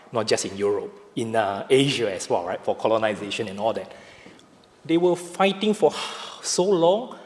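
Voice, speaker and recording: A man with a Malaysian accent speaking as a panelist, animated and peppered with hesitations and tag questions. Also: mid-range voice. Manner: animated, lots of filler uh, ends with right?. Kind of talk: panel discussion. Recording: reverberant hall.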